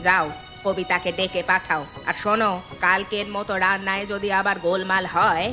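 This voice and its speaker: A woman's voice: medium pitch, little pitch movement, moderate pace, pushed and bright, natural voice. Woman playing older mother-in-law, harsh, scolding, commanding, family cartoon, background music. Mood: scornful, angry. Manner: stern, commanding. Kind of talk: Bengali family cartoon dialogue.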